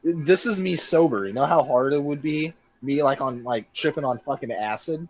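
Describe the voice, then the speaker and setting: A man's voice: on the high side, moderate pace, no room sound. A young man hosting a casual comedy podcast, rambling and joking at his own expense. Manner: goofy, rambling, self-deprecating jokes. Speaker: podcast host.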